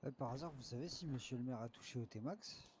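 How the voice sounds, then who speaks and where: A man's voice: mid-range voice, fairly quick, darkish tone, slight background noise. A man chatting casually in an online roleplay game, slangy and insistent, often repeating his questions. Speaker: streamer trolling players in an online roleplay game.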